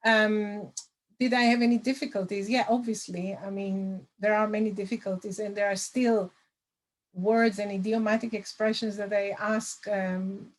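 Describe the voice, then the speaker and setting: A middle-aged woman, a lecturer answering audience questions over a video call, thoughtful with many pauses and fillers. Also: medium pitch, steady tone, rough recording. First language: Greek. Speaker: language assessment lecturer.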